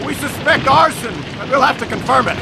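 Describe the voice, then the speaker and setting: An actor playing an urgent fire chief barking orders in an old-time radio drama. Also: male voice, very high-pitched, fairly quick, gravelly, background noise. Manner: shouting, commanding.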